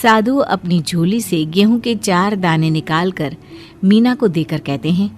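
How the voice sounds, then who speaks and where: A woman narrating a moral cartoon story in a steady, clear storytelling manner. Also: fairly low pitch, measured pace, soft background music.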